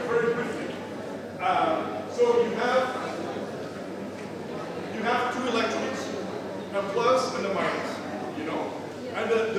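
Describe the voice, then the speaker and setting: A middle-aged man from Portugal with a Portuguese accent, giving a lively, digressive science lecture to a live audience. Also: high-pitched. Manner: lively, chatty, digressive with many asides. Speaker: guest lecturer. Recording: echoey hall, speaker far from mic.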